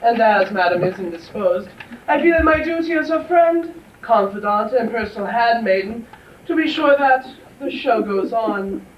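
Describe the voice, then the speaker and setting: Man playing a high-pitched camp butler-turned-maid. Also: expressive, normal speed. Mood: haughty, pompous. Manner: pompous, flamboyant, mock-formal. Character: camp male butler posing as haughty lady's maid. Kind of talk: stage musical comedy acting. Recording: distant echoey dull stage recording, noisy.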